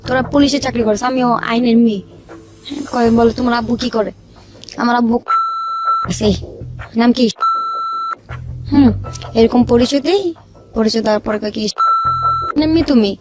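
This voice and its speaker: A woman with a Bangladeshi accent and a fairly high voice recounts her own life in an informal way. She slips into quoted dialogue as she tells it, and music plays underneath. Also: moderate pace, long pauses. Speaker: guest telling her life story. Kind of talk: informal personal storytelling.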